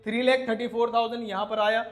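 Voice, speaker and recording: A man's voice: very high-pitched, little pitch movement, fast. An Indian man who teaches, ranting indignantly to viewers in an emphatic, scolding way with rhetorical questions. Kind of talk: indignant video rant to viewers. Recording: echoey room.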